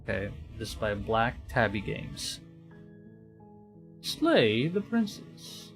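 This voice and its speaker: A man from the Philippines chats casually as he hosts a game livestream. His delivery is unhurried and halting, and he often circles back to restate a phrase. Faint music plays behind his mid-pitched voice. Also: big pitch swings, a bit bright. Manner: hesitant, halting, repeating and rephrasing phrases. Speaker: VTuber livestream host.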